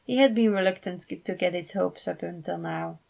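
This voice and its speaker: A woman narrating a fan fiction story in an expressive amateur reading style. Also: lowish voice, fairly quick, vocal fry.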